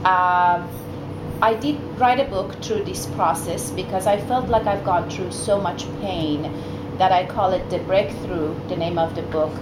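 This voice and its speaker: A middle-aged woman from Iran, a therapist, giving an earnest personal talk to a group of mothers, with many fillers and restarts. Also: fairly low pitch. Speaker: guest speaker, therapist. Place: meeting room, indoors. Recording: echoey room with background noise.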